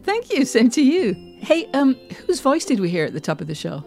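A woman's voice: sits high, sing-song, fairly quick, creaky, a little mellow, soft background music. A woman hosting a podcast in a conversational presenting style. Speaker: podcast host.

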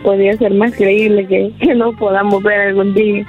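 A woman's voice: mid-range voice, level tone, normal speed, lo-fi sound, soft background music. Polite giggly Salvadoran woman on phone. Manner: polite, giggly, a bit cautious. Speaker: caller on the phone.

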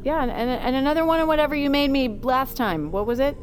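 A woman's voice: high voice, animated, fast talker, soft background music. An actress playing a dry, weary, sardonic mother in a naturalistic fiction podcast. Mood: tipsy, guarded.

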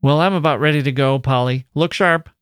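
Bossy, scornful young working woman in a storybook reading male reader; slightly raised pitch, dry sound. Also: fairly quick, a bit bright, clean tone, dry recording, natural voice. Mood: brisk, bossy. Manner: curt, colloquial orders. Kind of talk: literary short story reading.

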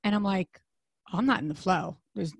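A woman in her thirties co-hosting a podcast, with a deep, raspy, hoarse voice, chatting casually and rambling with fillers and restarts. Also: normal speed, no echo. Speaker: podcast co-host.

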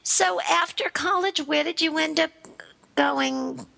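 High, bright, gravelly host. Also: female voice, slow pace. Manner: enthusiastic, chatty. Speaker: radio show host. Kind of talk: casual interview chat.

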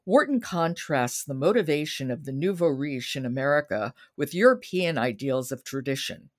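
A woman's voice: deep voice, expressive, moderate pace. A woman hosting a podcast about books, moving between conversation and announcements. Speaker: podcast host. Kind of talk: conversational book review chat and announcing.

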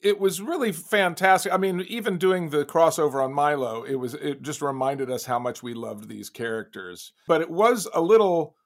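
Interview guest, a man, relaxed and self-deprecating, slightly high voice. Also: moderate pace, hardly any pauses. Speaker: guest, animation producer. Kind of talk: interview answers.

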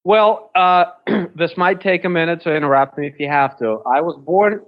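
A man's voice: on the high side, moderate pace. Wry Russian-accented man, slightly muffled. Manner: wry, self-deprecating humor. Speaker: podcast guest. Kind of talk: casual interview chat.

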